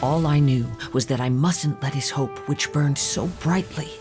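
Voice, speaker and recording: A man playing a calm, reflective young wandering samurai in a video game, speaking in measured, formal, poetic phrases. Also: fairly high pitch, natural voice. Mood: solemn, wistful. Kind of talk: video game story dialogue and narration. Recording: music behind the voice.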